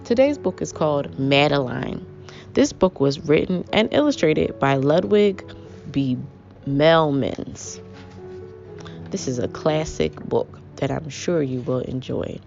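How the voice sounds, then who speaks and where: A woman reading aloud to young children as host, with an expressive, measured storybook delivery. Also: low voice, gravelly. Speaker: children's book reader, host. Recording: muffled audio with prominent music.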